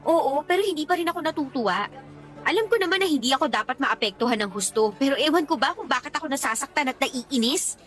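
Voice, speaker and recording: Whiny, jealous young mother, high-voiced. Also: lively intonation, fast talker, a bit bright. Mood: jealous, annoyed. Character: petulant, jealous young live-in mother venting to her friend. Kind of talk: Tagalog radio drama dialogue. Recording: music behind the voice.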